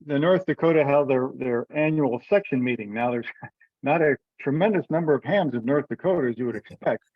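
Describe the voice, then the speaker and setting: Thin, narrow-band audio like a phone or radio link carries a man's mid-pitched voice. He gives an informal report to colleagues on a teleconference, with very little room sound around him. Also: fairly quick, no echo. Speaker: committee member giving a report. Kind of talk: informal meeting update. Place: remote teleconference.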